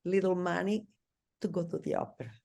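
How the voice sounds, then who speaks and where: An Italian woman interviewing a singer, speaking English with an Italian accent in an admiring way, often pausing to find her words. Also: on the low side, vocal fry, darkish tone. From Italy.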